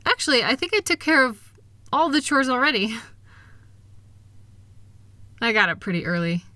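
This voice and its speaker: A woman plays an affectionate, capable wife in a comfort roleplay. Her voice is bright and recorded very dry and close. She is gentle and reassuring, with a light teasing touch. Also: high voice, big pitch swings, normal speed, no room sound, exaggerated voice. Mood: cheerful, affectionate. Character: kind, upbeat, capable wife soothing her insecure partner. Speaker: audio roleplay performer. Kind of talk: intimate comfort audio roleplay.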